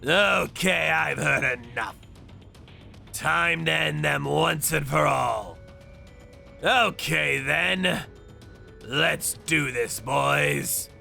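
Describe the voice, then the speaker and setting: A man's voice: on the high side, animated, very slow, gravelly, long pauses, bright, forceful tone, heavily acted. A man voicing a ruthless underworld avenger in a manga dub, menacing and theatrical as he narrates. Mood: cold menace. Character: ruthless male underworld avenger narrating his torture jobs. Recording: background music under voice.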